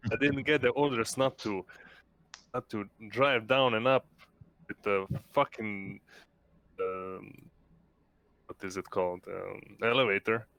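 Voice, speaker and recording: A man with a lowish voice takes part in a tabletop roleplaying game. He speaks casually, slipping between player talk and his character's lines. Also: fairly even intonation, measured pace, creaky, pauses often, fairly bright tone, low-quality recording.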